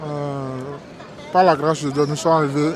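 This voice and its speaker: A man from Togo with a fairly high voice talks through his story in a halting, roundabout way. He often breaks off, starts again and checks in with his listener. A lively crowd is heard around him on the street. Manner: halting, restarts, tag "tu vois, non?". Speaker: interview guest, migrant worker. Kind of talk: casual storytelling in an interview. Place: outdoors on a busy street.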